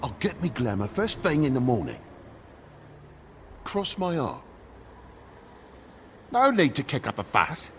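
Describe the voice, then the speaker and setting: A man playing a flustered, foul-mouthed talking toad with a British accent, excitable and grumbling, in a video game. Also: medium pitch, measured pace, long pauses, a little hiss or hum. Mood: pleading, defensive.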